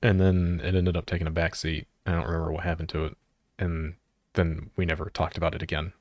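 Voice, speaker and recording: A man's voice: deep voice, little pitch movement, fairly quick, a little mellow, no echo, studio quality. A man co-hosting a podcast with casual banter and a playful, mock-scolding way of talking. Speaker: podcast co-host.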